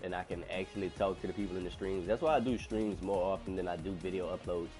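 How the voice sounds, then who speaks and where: A man's voice: sits low, fast, darkish tone, soft background music. A man streaming a game live, chatting casually and rambling, his voice nasal because he is still getting over being sick. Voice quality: nasal from a cold. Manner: chatty, rambling, complains about rude players. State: recovering from illness. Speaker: gaming streamer.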